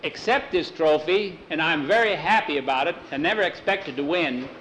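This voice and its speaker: An earnest, proud boy , played by a male storyteller, speaking a little high. He makes a solemn, formal speech in a storybook-style telling, with noise on the recording. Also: moderate pace, fairly bright tone, lightly acted. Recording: clearly noisy recording.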